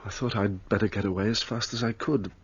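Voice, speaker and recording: A man's voice: lowish voice, fairly even intonation, brisk, husky, muffled recording. An actor playing a troubled, guilt-ridden young man, earnest and remorseful in a radio play.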